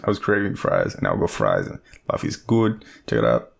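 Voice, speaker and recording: A man acting as an awkward young Australian guy, sweet on his friend, in an intimate audio roleplay. His voice is low and full of gravelly fry, swinging widely in pitch. He rambles and hesitates, joking at his own expense. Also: wildly swooping pitch, fairly quick, heavily acted. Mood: joking, rambling. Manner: rambling, hesitant, self-deprecating, swearing. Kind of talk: intimate casual roleplay, half-improvised.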